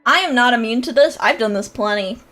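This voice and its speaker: A woman's voice: fairly high pitch, brisk, fairly bright tone, faint music behind. A young woman with a nasal voice, chatting casually and rambling on a livestream, with lots of fillers and laughs. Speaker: VTuber streamer and creator coach.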